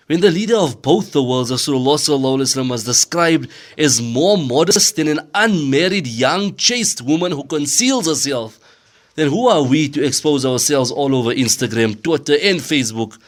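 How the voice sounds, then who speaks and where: A man's voice: on the high side, animated, normal speed, lightly acted. A man playing a stern Islamic teacher, preaching rhetorically in an audio drama. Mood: admonishing. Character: stern male Islamic teacher admonishing students on modesty. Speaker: religious teacher.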